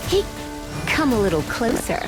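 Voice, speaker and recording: A woman's voice: a little high, big pitch swings, normal speed, music in the background, low-quality recording. A woman voicing a confident, playful young fighter who teases in a video game. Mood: teasing, confident.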